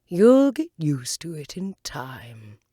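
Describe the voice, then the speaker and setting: A woman voicing a haughty, testy storybook caterpillar, speaking slowly and emphatically in a curt, languid way. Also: low-pitched, very animated, dark tone, no room sound, heavily acted. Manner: slow, drawn-out, emphatic and curt.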